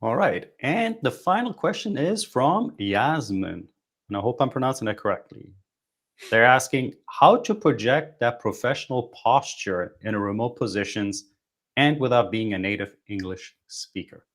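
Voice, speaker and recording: A man's voice: medium pitch, lively intonation, moderate pace, fairly bright tone. A man co-hosting a live career Q&A, politely reading out viewer questions over a video call.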